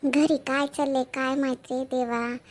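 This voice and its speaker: A woman voicing a nagging rural mother who scolds her grown daughter with rapid, sarcastic talk. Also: high-pitched, fairly even intonation, a bit bright. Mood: grumbling.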